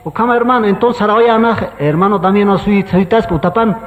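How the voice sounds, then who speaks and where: An actor playing an earnest, brotherly evangelical pastor in a radio drama. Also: male voice, high voice, a little fast, a little hiss or hum, close to an everyday voice. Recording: dull reverberant radio drama, faint music.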